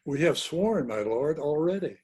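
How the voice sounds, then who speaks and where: A man's voice: fairly high pitch, animated, moderate pace, darkish tone, natural voice. A man reading the part of a prince's loyal soldier friend in a Shakespearean drama, formal and deferential. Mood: solemn. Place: indoors on a video call.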